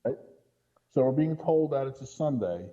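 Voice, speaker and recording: A man's voice: medium pitch, animated, normal speed, mellow, lo-fi sound. A man giving casual, critical film commentary on a podcast in an exasperated way, often checking his points with a questioning tag. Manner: exasperated, punctuates points with "right?". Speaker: podcast co-host or guest critic.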